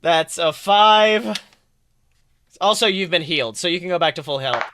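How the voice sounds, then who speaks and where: A man with a bright, fairly high voice hosts a tabletop game as game master. He sounds clear and narrates casually, with a teasing, jokey manner. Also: lively intonation, normal speed. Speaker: game master, podcast host.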